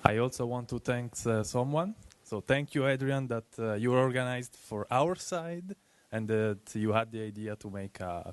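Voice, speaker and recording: A man with an Italian accent presenting at a tech meetup, speaking earnestly and haltingly with many fillers. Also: mid-range voice.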